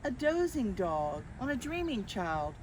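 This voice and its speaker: An older woman reading picture books aloud to young children, expressively, with drawn-out phrases and questions to her listeners. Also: a little high, sing-song, slight background noise. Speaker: children's storyteller.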